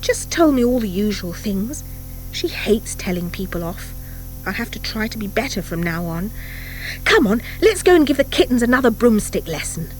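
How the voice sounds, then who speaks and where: A woman's voice: mid-range voice, very animated, normal speed, soft background music, close to an everyday voice, low-quality recording. A woman voicing an earnest, hapless young schoolgirl witch in an audiobook, artless and apologetic. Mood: relieved, chatty. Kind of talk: audiobook character acting.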